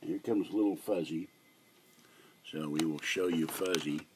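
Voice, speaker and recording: An older man with a hoarse voice, chatting on a live stream from a small room at home, meandering and halting with many fillers. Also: a bass voice, very noisy. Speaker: livestreamer. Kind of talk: rambling live stream chat.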